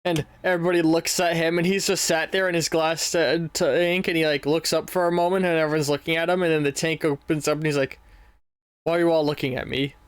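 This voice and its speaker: Male game master, narrates casually, rambles with fillers; slightly high voice, dry close sound. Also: level tone, fast, hardly any pauses, smooth, dry recording.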